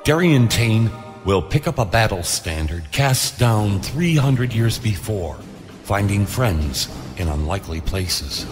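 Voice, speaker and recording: A man's voice: on the low side, big pitch swings, slow pace. A man narrating a scripted adventure trailer in a dramatic style. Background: music.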